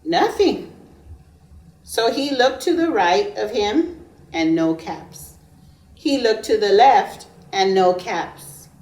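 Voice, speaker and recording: Casting: woman teacher, children's read-aloud, slow and emphatic delivery, medium pitch, echoey recording. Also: expressive, darkish tone. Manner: emphatic, pausing to ask listeners questions. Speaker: preschool teacher. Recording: roomy echo, distant microphone.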